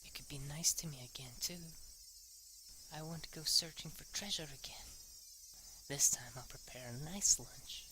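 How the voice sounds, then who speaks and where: A man voicing a sweetly menacing teenage girl in a soft, breathy whisper. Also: fairly high pitch, normal speed, a little hiss or hum. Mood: eerie sweet.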